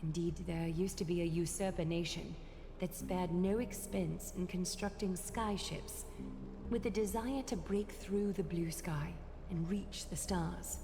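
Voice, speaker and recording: A woman voicing a stern, regal female guardian who speaks in a formal, measured way. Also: fairly low pitch, fairly even intonation. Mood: solemn. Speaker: guardian judging outsiders. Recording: game audio with reverb and music.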